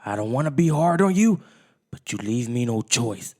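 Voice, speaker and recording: A man voicing a stern, bossy, exasperated father who scolds loudly in a storybook. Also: medium pitch, very animated, normal speed, voice pushed up, close to an everyday voice. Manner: loud, scolding, theatrical. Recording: long hall-like reverb.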